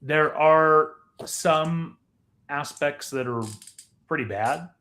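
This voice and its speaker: A man co-hosting a podcast, chatting casually in a measured, matter-of-fact way. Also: on the high side, pushed and bright. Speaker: podcast co-host. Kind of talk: casual chat.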